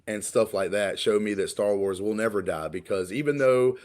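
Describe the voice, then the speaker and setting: Rambling low-voiced man in his thirties. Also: normal speed, darkish tone. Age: 30s. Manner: rambling, repeats words, stumbles and restarts. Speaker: podcast co-host. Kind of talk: casual podcast conversation.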